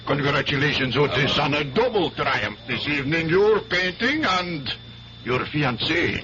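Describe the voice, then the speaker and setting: A man's voice: on the high side, lively intonation, unhurried, a crowd in the background. An actor playing a courtly, proud male art professor in a 1970s radio drama, with a formal, emphatic delivery. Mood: courtly, pointed. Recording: dull, noisy old radio broadcast.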